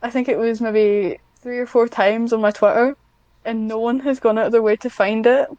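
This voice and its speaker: A woman's voice: on the high side, steady tone, normal speed, a little mellow, clear, resonant tone, dry recording, dull audio. A woman chatting casually as a podcast guest, hesitant and self-deprecating, with a dry sarcastic streak. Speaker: podcast guest, ASMR script writer. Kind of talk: casual interview chat.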